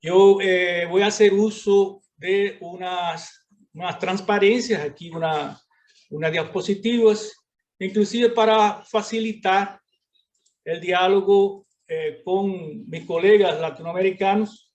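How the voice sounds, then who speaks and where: Slow, high-voiced man with Portuguese-tinged Spanish. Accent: Portuguese-influenced Spanish. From Brazil. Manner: halting, many filler sounds. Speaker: lecturer. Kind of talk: academic lecture.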